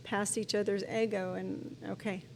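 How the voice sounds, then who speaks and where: A woman's voice: mid-range voice, level tone, normal speed, creaky, noisy background. Earnest middle-aged woman pastor. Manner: warm and earnest, with frequent restarts and "you know". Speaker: pastor and guest. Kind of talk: casual conversational interview.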